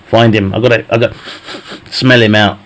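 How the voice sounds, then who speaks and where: A man's voice: on the low side, fairly even intonation, a little fast, fairly bright tone. A man chatting casually with his live stream viewers, swearing a lot and laughing often at his own jokes. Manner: profane, jokey banter, laughs often. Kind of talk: casual chat with viewers while gaming.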